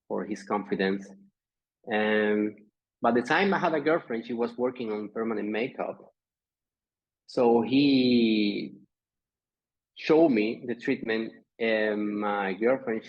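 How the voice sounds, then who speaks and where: A Spanish man with a Spanish accent, speaking as a podcast guest. His voice is husky and comes through a slightly muffled remote line. He talks casually and pauses to search for words. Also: fairly low pitch. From Spain, Andalusia. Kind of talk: casual interview chat. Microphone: remote call.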